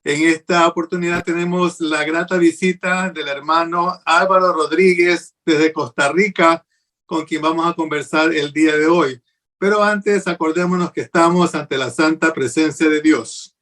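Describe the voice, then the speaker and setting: A man hosts an interview program, courteous and upbeat as he welcomes his guest. His voice is a touch high for a man, and he fills pauses with small hesitations. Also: fairly even intonation, a bit bright. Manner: courteous, upbeat, many fillers. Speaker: host. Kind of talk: hosting an interview.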